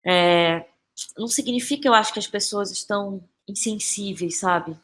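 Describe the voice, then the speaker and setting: Brazilian woman, thoughtful and halting. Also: medium pitch, fairly bright tone. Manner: thoughtful, halting, searching for words. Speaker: guest playwright and actress. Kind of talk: reflective interview conversation.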